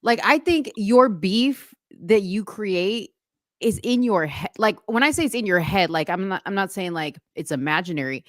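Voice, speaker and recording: A woman's voice: mid-range voice, animated, moderate pace, dry recording, clean studio sound. A woman hosting a podcast, talking frankly and probing her guest, stretching words for emphasis. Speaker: podcast host. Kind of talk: direct podcast conversation.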